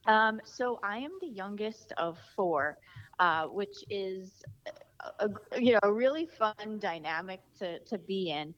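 A woman's voice: medium pitch, expressive, measured pace, a bit bright, muffled recording. A woman from New Jersey, an interview guest, talking in a chatty way with many fillers and restarts. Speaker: guest, founder of a design studio. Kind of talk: casual interview chat.

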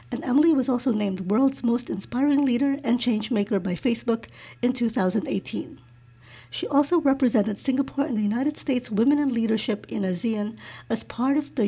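Gravelly, mellow-voiced woman host. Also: fairly high pitch. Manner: soft, hesitant questions with many fillers. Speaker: podcast host. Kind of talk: reading a guest introduction, then casual interview.

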